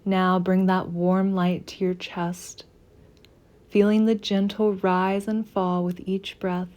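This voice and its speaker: A woman leading a guided meditation in a soft, soothing, unhurried manner with gentle pauses. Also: medium pitch, level tone.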